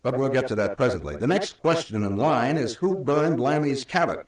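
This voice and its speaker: An actor playing a shrewd, authoritative police captain in an old-time radio drama, explaining things deliberately and emphatically. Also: male voice, mid-range voice, big pitch swings, lightly acted. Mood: explanatory, grave. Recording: roomy, distant old radio recording.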